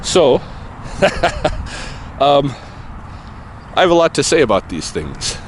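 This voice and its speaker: A man talking casually to camera while out on an evening walk, thinking aloud in a loose, rambling way with pauses and soft chuckles. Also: fairly high pitch, big pitch swings, a little hiss or hum. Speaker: vlogger answering a viewer question. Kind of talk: casual walking vlog. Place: outdoors on an evening walk near traffic.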